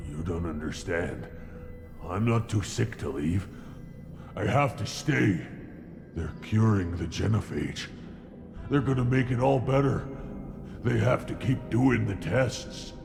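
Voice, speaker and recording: A man voicing a wounded, brainwashed alien brute scout in a video game, earnest and unsure of himself, speaking in halting, labored phrases. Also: animated, full character voice. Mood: desperate insistence. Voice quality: deep, gravelly. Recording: music behind the voice. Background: music.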